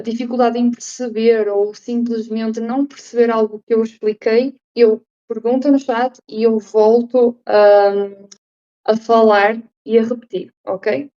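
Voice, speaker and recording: Slow, deliberate woman with a Portuguese accent. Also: a little high, dark tone, highs cut off. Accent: European Portuguese. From Brazil, raised in Portugal. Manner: speaks slowly and deliberately with many fillers. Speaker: speaker giving an online talk. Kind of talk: live online presentation.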